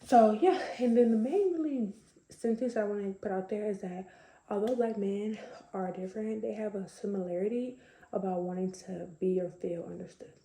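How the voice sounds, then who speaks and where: Chatty young woman vlogger, raspy gravelly voice, kinda dark tone, echoey room. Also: mid-range voice, moderate pace, slight background noise. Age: young adult. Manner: chatty, conversational, many fillers. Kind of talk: casual talk to camera.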